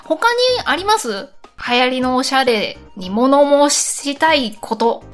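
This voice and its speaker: Woman, high voice, animated intonation, casual podcast chat, chatty co-host. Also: a little slow, soft background music.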